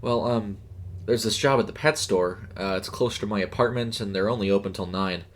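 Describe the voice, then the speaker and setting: Male narrator as young female burger cook, nervous, hesitant, soft-spoken, audio story. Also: lowish voice, brisk, lightly acted. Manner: hesitant, quiet, casual. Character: nervous young woman, fast food kitchen worker.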